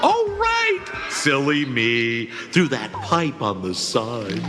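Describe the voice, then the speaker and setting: A breezy, scatterbrained male game character, swings wildly in pitch. He draws his words out slowly, with music clearly behind him. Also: huge pitch swings, in a large hall, heavily acted. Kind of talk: video game character acting.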